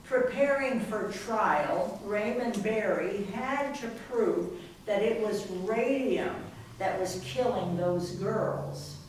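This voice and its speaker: A woman's voice: medium pitch, slow pace, close to an everyday voice. A woman narrator reading scripted third-person narration for a readers theater. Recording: very echoey room, distant mic, faint noise.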